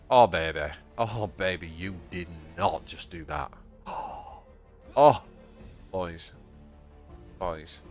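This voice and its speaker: Excitable Yorkshire man streaming a game. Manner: excitable, bursts into high yelps at surprises. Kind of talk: live gameplay commentary.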